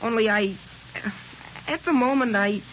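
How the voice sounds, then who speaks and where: An actor playing a naive, nervous sixteen-year-old boy in a 1940s radio drama, speaking haltingly and awkwardly. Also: very high voice, fairly bright tone, a little hiss or hum. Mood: uneasy, earnest. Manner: hesitant, stammering, awkward. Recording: dull old radio broadcast recording.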